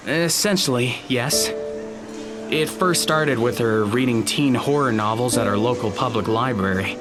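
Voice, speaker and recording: A man plays an awkward, polite high school boy in an audio drama. He talks in a hesitant, stammering way, like an eager friend, at a middling male pitch, with music clearly playing underneath. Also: unhurried, lightly acted. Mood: explanatory, apologetic. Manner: hesitant, stammering, nervous chuckles.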